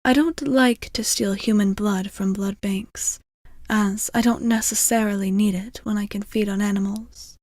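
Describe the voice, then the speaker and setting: A gentle female vampire voiced by a woman murmurs to a single listener in an intimate ASMR roleplay. Her delivery is very measured and soothing, with soft phrases that often fall into whispers. The sound is close and dry, with little trace of room. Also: mid-range voice, fairly even intonation, no echo. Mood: calm, careful. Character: gentle, caring centuries-old female vampire bartender. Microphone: close microphone, very dry.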